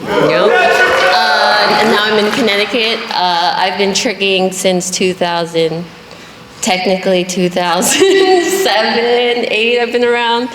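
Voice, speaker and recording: A woman's voice: mid-range voice, slow, background noise. A woman from the DC area introducing herself on a panel, casual and brief. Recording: echoey room, loud crowd.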